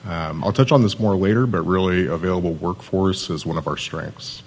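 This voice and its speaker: A very deep-voiced man delivers a city pitch in an even, monotone way, dropping small fillers as he goes. A faint hum of ambience sits behind him. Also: normal speed. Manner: self-described monotone, steady with fillers. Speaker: economic development manager. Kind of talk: conference pitch presentation.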